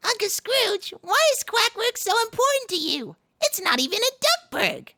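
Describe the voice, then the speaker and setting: A woman's voice: very high-pitched, very animated, normal speed, bright, forceful tone, exaggerated voice. A woman voicing an inquisitive, no-nonsense cartoon duck with a pointed, questioning manner in a live comic dub. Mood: curious.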